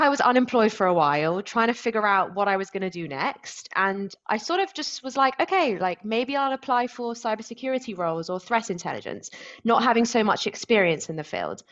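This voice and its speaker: A woman's voice: mid-range voice, fairly bright tone. A young woman with a London accent, chatting casually as an interview guest, with many fillers. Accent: London English. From London, England. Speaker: threat intelligence analyst, guest. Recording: dull lo-fi audio.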